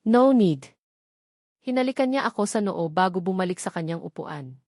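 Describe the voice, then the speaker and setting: A woman's voice: fairly low pitch, expressive, fairly quick, a little mellow, no echo. Filipino woman narrating a story, dry recording. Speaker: audiobook narrator. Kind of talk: scripted first-person story narration.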